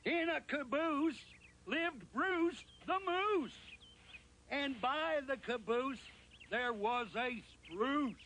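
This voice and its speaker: Playful rhyme narrator for a children's tale: slow, sing-song, widely swooping pitch. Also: male voice, a bit bright, a little hiss or hum, heavily acted. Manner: sing-song, rhyming, drawn-out. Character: playful storyteller of a rhyming animal tale. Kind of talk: children's rhyming story narration.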